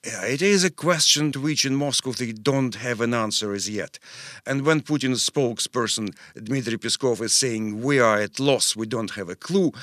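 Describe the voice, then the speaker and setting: A man in his fifties from Russia, speaking, answers interview questions thoughtfully, pausing with fillers, in a studio. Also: medium pitch, a bit bright, no room sound. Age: 50s. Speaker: guest expert.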